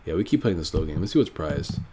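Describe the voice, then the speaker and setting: A man's voice: rumbling low, sing-song, fast talker, background noise. A man with a stuffy, nasal voice from a cold, casually thinking aloud while commenting on his own card game match. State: sick, stuffy nose. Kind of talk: live gameplay commentary.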